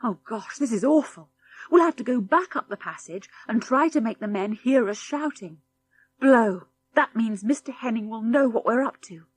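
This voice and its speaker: A woman's voice: a little high, expressive, moderate pace. A British woman voicing an earnest, responsible schoolboy who leads a gang of children, grave, anxious and well-spoken, in a storybook reading. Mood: dismayed.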